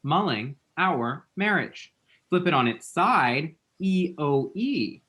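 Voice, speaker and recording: A man's voice: on the high side, big pitch swings, slow pace, a bit bright, heavily acted. A man acting a dramatic, lovestruck man in his late twenties in a rom-com script read, rambling and quippy. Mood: excited, insistent. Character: dramatic, lovestruck, scrolling-obsessed twenty-seven-year-old man. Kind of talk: screenplay table read, rom-com dialogue.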